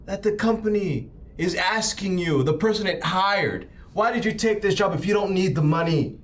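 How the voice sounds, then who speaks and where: A man playing an oily, menacing corporate agent in a tabletop game, cajoling and slipping into hushed threats. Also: sits high, normal speed, voice pushed up, natural voice. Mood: indignant, bullying. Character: slick, menacing male corporate agent, bribing and threatening crew. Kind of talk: improvised tabletop roleplay acting.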